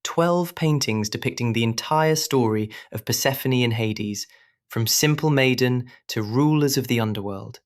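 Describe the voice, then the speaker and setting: A man's voice: medium pitch, moderate pace, natural voice. A man plays an ambitious, celebrated painter in a Victorian audio drama. His voice sits in a middle register. He speaks articulately, with the assurance of someone presenting his great work. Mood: enthusiastic. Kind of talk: period audio drama acting.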